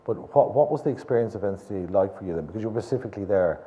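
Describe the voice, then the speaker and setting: An older Irish man leads an on-stage conversation, explaining at length in a low, very dark voice. The sound is dull and lo-fi. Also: racing. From Ireland. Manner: explanatory, animated hand gestures. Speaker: interviewer, gallery director.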